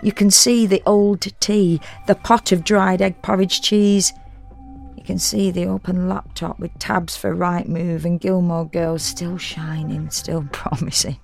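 A woman's voice: lowish voice, little pitch movement, unhurried, creaky, darkish tone. An actress playing a sardonic, self-deprecating woman in an intimate, confiding first-person audio drama monologue. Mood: wry, hushed. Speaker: solo performer reading a dramatic monologue. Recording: music under the voice.

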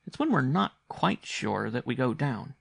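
Storybook young boy, played by a man: raised pitch, grave, earnest, insistent, very dry close sound. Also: very animated, a little slow, gravelly, breathy edge, no echo, heavily acted. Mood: earnest, serene. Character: earnest, intense young boy, secretive gambler.

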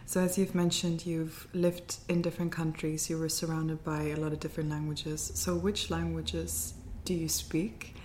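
A woman's voice: deep voice, flat delivery, normal speed, hardly any pauses, a little mellow, a little hiss or hum. A woman hosting a podcast, asking long questions in a casual interview. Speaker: podcast host.

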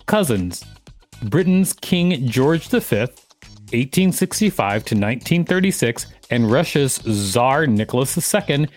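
A man hosting a podcast, reading an article aloud and adding casual commentary. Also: mid-range voice. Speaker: podcast host.

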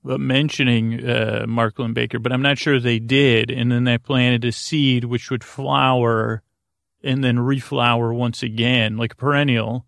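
A middle-aged man delivers a meandering bedtime monologue as a podcast host, halting often and looping back on himself. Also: medium pitch, flat delivery, gravelly, fairly bright tone, no echo, clean studio sound. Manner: hesitant, halting, trails off and loops back.

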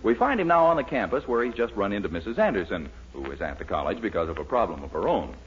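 A man's voice: on the low side, very animated, quick pace, slightly rough. A man announcing and narrating a 1940s radio comedy from a script, on a muffled old recording.

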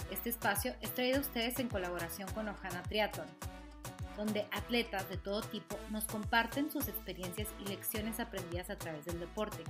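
A woman reading a scripted podcast outro as the host, addressing her listeners. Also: medium pitch. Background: music.

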